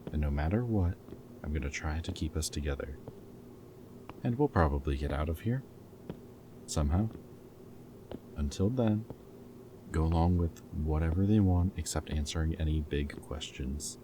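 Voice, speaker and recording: A male actor plays a very low-voiced rebel man in a dystopian audio roleplay. He is protective and defiant, with a teasing, flirty streak and a wry, intimate delivery. There is sound behind his voice. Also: moderate pace, long pauses. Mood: tender, worried. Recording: background music, loud ambient noise in places.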